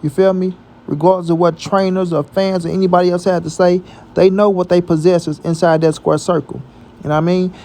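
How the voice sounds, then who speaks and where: An American man with a fairly high voice that stays quite level in pitch. He gives casual, opinionated sports commentary with a mellow tone, repeating himself for emphasis and using plenty of slang. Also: little pitch movement, brisk. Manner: emphatic, repetitive, slangy with frequent check-in tags. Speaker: boxing commentary channel host.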